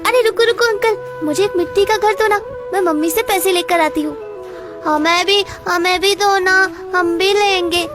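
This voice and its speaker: An eager small child from a cartoon tale. The voice is extremely high, squeaky and pleading. Music sits clearly behind it. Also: female voice, moderate pace. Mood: excited, pleading. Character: eager little village children. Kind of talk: Hindi cartoon moral-story voice acting. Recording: background music throughout.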